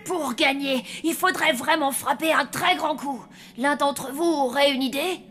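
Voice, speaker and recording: A woman's voice: sits high, measured pace, a bit bright. A woman voicing an earnest, easily indignant young zombie schoolboy in a cartoon. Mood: determined. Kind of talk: animated series voice acting.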